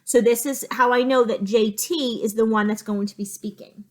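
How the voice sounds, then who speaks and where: Higher-voiced woman teaching through a read-aloud. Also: steady tone, moderate pace, darkish tone. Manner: simple, explanatory phrasing for young children. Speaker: teacher reading a picture book aloud. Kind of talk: reading lesson with storybook read-aloud and asides.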